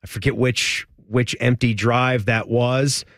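A man's voice: medium pitch, slow pace, dry recording, clean studio sound. A man hosting a post-game college football radio show, rattling off scores and joking with his co-host. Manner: lively, rattles off scores and jokes.

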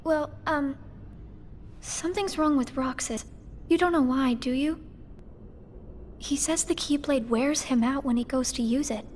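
An actress playing a gentle, loyal teenage girl in a video game, sincere and a little hesitant. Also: high voice, a little slow, pauses often, a little hiss or hum. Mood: uneasy. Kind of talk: video game cutscene acting.

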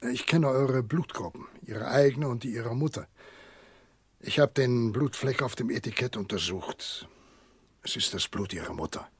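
An older actor playing a kindly, remorseful old family doctor in a radio drama, fatherly, gentle and rough-voiced. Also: medium pitch, moderate pace, no echo. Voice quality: very husky, rough. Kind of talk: German radio drama acting.

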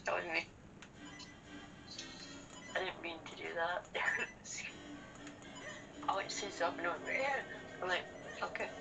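A woman's voice: lowish voice, lively intonation, measured pace, long pauses, rough recording. A woman co-hosting a gaming channel, chatting casually with teasing, slangy banter during a let's play. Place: indoors, in front of a TV. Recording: game music over the talk. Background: video game music.

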